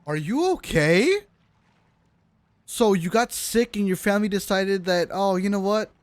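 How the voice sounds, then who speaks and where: A woman game streamer reacting casually on a live stream, blunt and expressive. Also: medium pitch, measured pace, no echo.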